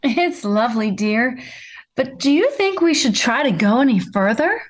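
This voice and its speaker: A woman acting a gentle, practical but worried mother in a 1950s-style family radio comedy. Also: fairly high pitch, lively intonation, moderate pace.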